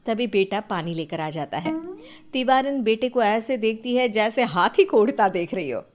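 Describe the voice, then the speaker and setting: A woman narrating a scripted story in an expressive, playful and dramatic way. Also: on the high side, moderate pace.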